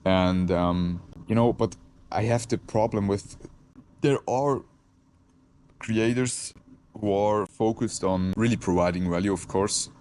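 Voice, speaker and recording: A man's voice: lowish voice, slow, a little mellow. A man from Switzerland, a native Swiss German speaker with a Swiss German accent, talking reflectively to camera, hesitant and searching for words with frequent restarts. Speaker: content creator. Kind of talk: reflective casual talk to camera.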